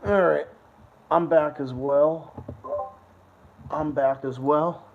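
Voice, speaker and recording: A man's voice: fairly high pitch, steady tone, takes it slowly, gravelly, slight background noise. A man co-hosting a casual livestream show, talking in a crude, rambling and repetitive way. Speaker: co-host. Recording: echoey room, dull lo-fi sound.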